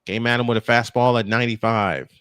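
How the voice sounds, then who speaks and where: A man's voice: mid-range voice, steady tone, fast, a bit bright, clear, resonant tone, dry recording. A man doing live baseball play-by-play, folksy and chatty as he banters with co-hosts. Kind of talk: live sports commentary with casual banter.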